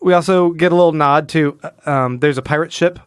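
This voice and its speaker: A man's voice: on the high side, a little fast, smooth, no echo, studio quality. A man co-hosting a podcast, talking casually through a comic book in a rambling, explanatory way. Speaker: podcast co-host.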